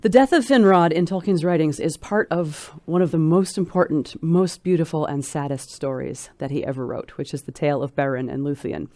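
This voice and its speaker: A woman's voice: deep voice, a little fast, few pauses, mellow, dry recording. A woman speaking as an interview guest, explaining and analysing in an articulate, emphatic, scholarly manner.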